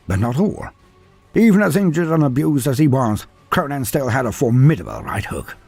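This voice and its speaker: Guy narrating a fantasy story, husky voice, swoops up and down a lot, dry sound, bit of music under it. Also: mid-range voice, no echo. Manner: animated storytelling with wry asides.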